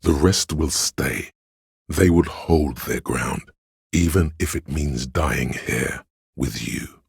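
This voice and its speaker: An actor playing a grave, all-knowing narrator in a video game, delivering literary police drama in a solemn, deliberate way with a gravelly, husky voice. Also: male voice, extremely low pitch, no room sound, studio quality, exaggerated voice. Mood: grave, resolute. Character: grave, omniscient male narrator voice of police camaraderie.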